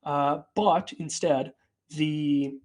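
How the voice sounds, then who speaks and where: A man's voice: fairly high pitch, fairly even intonation, very slow, darkish tone. A man whose first language is Italian, presenting a technical product update in a conversational way with frequent fillers and restarts. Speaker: developer relations presenter.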